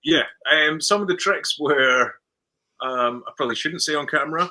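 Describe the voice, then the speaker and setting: A man's voice: fairly high pitch, normal speed, pushed and bright. A Scottish man, a film director, chatting in an interview and telling stories in a loose, repeating way as he acts out what people said. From Scotland. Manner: chatty storyteller who repeats words and acts out dialogue. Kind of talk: casual interview chat.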